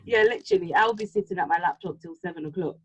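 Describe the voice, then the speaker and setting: A woman whose home tongue is Yoruba, chatting casually and reflectively, halting now and then as she thinks aloud. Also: fairly low pitch, wildly swooping pitch, gravelly, crowd noise. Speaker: podcast co-host. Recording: slightly dull, lo-fi sound.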